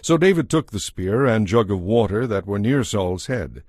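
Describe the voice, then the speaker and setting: Low-voiced man narrating scripture. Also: animated, normal speed, a little mellow, no echo, clean studio sound.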